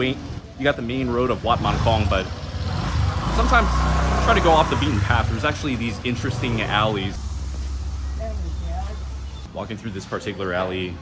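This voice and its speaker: A man vlogging casually outdoors on a busy city street, chatty and teasing. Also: lowish voice. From United States. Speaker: travel vlogger. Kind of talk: casual walking vlog to camera. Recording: loud outdoor street noise. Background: loud traffic and street noise.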